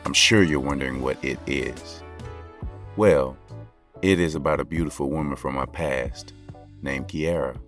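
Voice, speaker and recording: A very deep-voiced American young male basketball coach, lovestruck and full of regret. He talks straight to the listener in a slangy, intimate, confessional way, as in an audio drama, with music underneath. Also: a little slow, a bit bright, heavily acted. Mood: earnest, confiding. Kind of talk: first-person audio drama narration, conversational.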